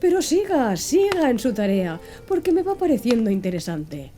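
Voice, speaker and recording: A woman voicing a blunt, plain-spoken male Canadian harpooner in an audiobook, with a gruff, mocking way of talking. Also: a little high, very animated, moderate pace, warm tone. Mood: wry.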